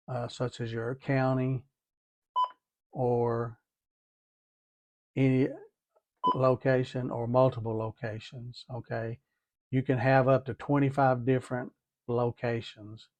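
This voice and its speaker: A man's voice: medium pitch, a little mellow, no echo. A man giving a methodical, hands-on tutorial, talking through each step and repeating as he goes. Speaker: DIY product demonstrator.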